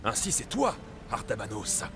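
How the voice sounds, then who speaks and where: An actor playing a bold, blunt young Greek mercenary in a video game, forceful and confrontational. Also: male voice, fairly low pitch, huge pitch swings, normal speed, over music. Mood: suspicious, demanding. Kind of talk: dramatic video game acting in French.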